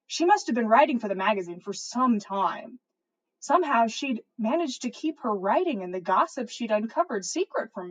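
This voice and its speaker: A woman's voice: on the high side, low-quality recording. A woman reading a story aloud as a podcast host on a livestream. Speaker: podcast host reading listener fan fiction.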